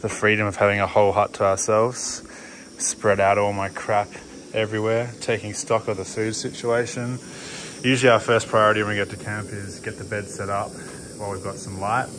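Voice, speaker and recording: Upbeat young Australian hiker vlogging. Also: male voice, on the low side, little pitch movement, a little fast, a bit bright, a little hiss or hum. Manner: upbeat, stoked, chatty. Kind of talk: casual trail vlog to camera. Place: outdoors on a bush hiking trail. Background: music.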